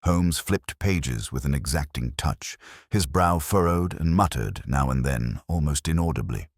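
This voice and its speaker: Very deep-voiced man narrating a mystery. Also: animated, dry recording, studio quality. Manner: measured, formal, literary delivery. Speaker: audiobook narrator. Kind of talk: scripted mystery story narration.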